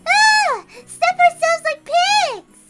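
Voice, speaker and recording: Very high, excitable little girl. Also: very slow, loud music behind the voice, heavily acted. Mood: excited. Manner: childlike, exclaiming, repeating.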